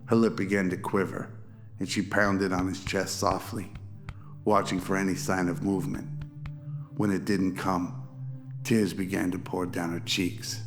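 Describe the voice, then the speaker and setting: A man narrates a radio drama in a deep voice. His scripted reading keeps an even pitch, with music clearly behind it. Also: unhurried.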